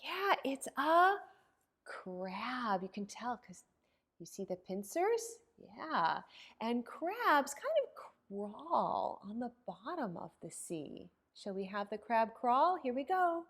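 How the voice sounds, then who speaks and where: A woman librarian hosting an interactive story time for young children, expressive and sing-song, asking questions and pausing for answers. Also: on the high side, slightly rough.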